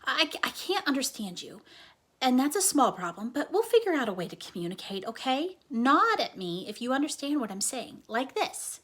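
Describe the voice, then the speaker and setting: An earnest, slightly cynical young comic-book fan voiced by a woman reading a storybook. The girl explains things emphatically and instructively, in a fairly high voice with a breathy, husky edge. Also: very animated, moderate pace, fairly bright tone, exaggerated voice. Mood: excited, coaxing.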